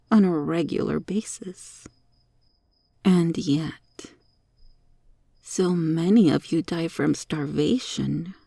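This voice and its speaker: Slow, creaky monster roach girl, acted by a woman. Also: on the low side, dark tone, dry recording, clean studio sound, full character voice. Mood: disdainful. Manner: slow, coy, prim formal phrasing. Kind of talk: ASMR roleplay.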